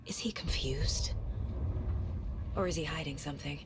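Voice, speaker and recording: An actress playing a sceptical, steady investigator in a video game, speaking in a direct, controlled, probing way. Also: female voice, low-pitched, normal speed, noisy background. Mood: wary. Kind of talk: dramatic video game acting.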